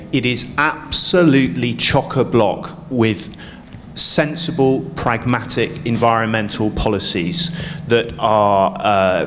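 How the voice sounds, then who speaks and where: A man answering as a parliamentary candidate, brisk as he lists points. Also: medium pitch, a bit bright. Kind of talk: debate answer at a hustings. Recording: echoey hall with faint hum.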